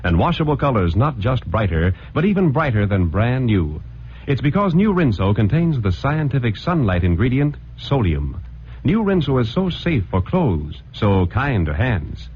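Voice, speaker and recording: A male announcer reading a vintage radio commercial with an upbeat, emphatic sales pitch. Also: big pitch swings, normal speed, a little hiss or hum. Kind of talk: read vintage radio advertisement. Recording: dull old radio broadcast.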